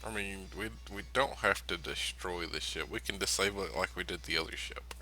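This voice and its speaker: A man's voice: sits low, fairly quick, bright, forceful tone, soft background music, low-quality recording. A man playing a tabletop role-playing game in casual in-character chat, often stammering and repeating his words.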